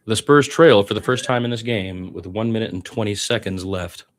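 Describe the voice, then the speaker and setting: A man's voice: fairly low pitch, normal speed, slight background noise. A man doing live radio-style basketball play-by-play as a partisan fan, with exasperated asides about his team.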